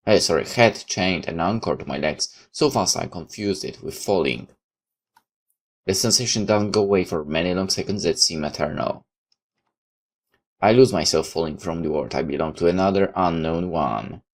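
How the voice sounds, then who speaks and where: A man's voice: low voice, moderate pace. A man reading a visual novel aloud in first person, sometimes stepping out of the narration for casual comments. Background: background music.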